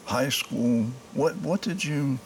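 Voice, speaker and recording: Older male podcast host, gravelly voice, halting delivery, faint background hiss. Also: medium pitch, expressive, low-quality recording. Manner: halting, with many "uh" pauses. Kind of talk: casual interview.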